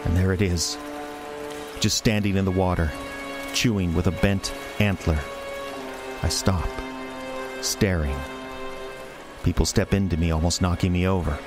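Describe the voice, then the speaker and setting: An actor playing a dazed office man in a radio play monologue, speaking in halting, deliberate short phrases. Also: sits low, steady tone, music in the background. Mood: dazed, fixated. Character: dazed office man haunted by a moose, slow and hushed.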